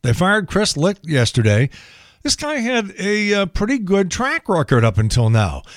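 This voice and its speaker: A man with an American accent and a gravelly, thinning voice, hosting a podcast in a wry, colloquial, sometimes profane solo monologue. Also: high voice, huge pitch swings, moderate pace, no room sound, studio quality. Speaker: podcast host. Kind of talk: casual solo monologue on news and sport.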